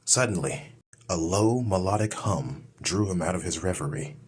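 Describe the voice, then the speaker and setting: A man's voice: deep voice, lively intonation, normal speed, noisy background. A man reading scripted dramatic story narration as an audiobook narrator. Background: music.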